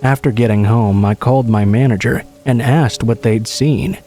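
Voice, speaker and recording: Dark-voiced man narrating horror stories. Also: mid-range voice, moderate pace, faint music behind. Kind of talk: scripted narration.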